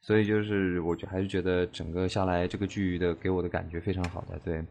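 A man's voice: low-pitched, level tone, fast talker, gravelly, darkish tone. A man, a voice actor on a cast panel, chatting casually about an audio drama and repeating his words for emphasis.

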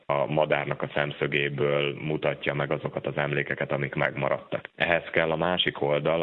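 A man's voice: a bass voice, phone-quality sound. A man giving long, technical explanations as an expert guest in a radio interview. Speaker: aerial archaeologist, interview guest.

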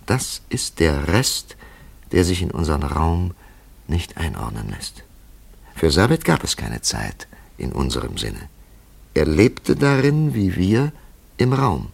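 An actor in a German radio play playing a grave, thoughtful man who speaks in a measured, philosophical way with a gravelly voice. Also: deep voice, huge pitch swings, full character voice. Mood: solemn, contemplative. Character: grave, thoughtful man pondering a supernatural mystery.

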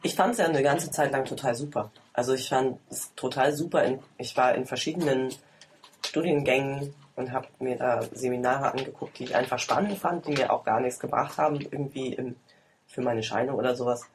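A man's voice: mid-range voice, a little fast, slight background noise. Man, 20s, mid voice, casual self-mocking chatter, laughs a lot. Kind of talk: casual chat. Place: home, at a table over tea.

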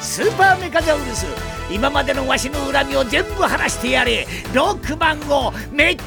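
Gravelly, high-pitched ranting old villain. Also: male voice, animated, moderate pace, full character voice. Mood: menacing, scornful. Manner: theatrical, cackling, bellowing commands. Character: gloating, ranting old villainous mad scientist. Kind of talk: 1990s anime audio drama acting. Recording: loud music under dialogue.

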